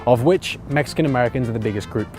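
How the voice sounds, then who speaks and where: A man delivering brisk, informative scripted documentary narration. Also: mid-range voice. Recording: narration over loud music.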